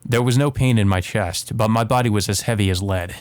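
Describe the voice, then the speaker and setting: A man's voice: lowish voice, fairly quick, darkish tone, no echo. A man hosting a let's play, reading visual novel narration aloud and adding casual asides, with game music behind him.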